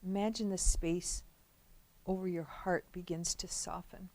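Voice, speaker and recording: Mellow, low-voiced woman guiding relaxation. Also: steady tone, rough recording. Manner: gentle, unhurried, with long pauses between phrases. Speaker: guided meditation instructor. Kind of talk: guided relaxation, slow soothing instruction.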